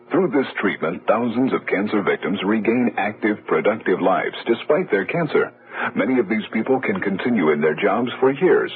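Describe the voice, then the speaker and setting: A man reading a scripted public service radio appeal as announcer, earnest and carefully enunciated. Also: on the low side. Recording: tinny old radio broadcast.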